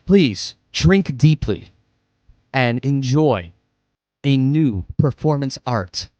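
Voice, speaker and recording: A man's voice: mid-range voice, lively intonation, slow, dark tone, no echo, highs cut off. A man voicing a gloating, fanatical cult leader who speaks in grand, ceremonial announcements in a tabletop game. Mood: grand, solemn.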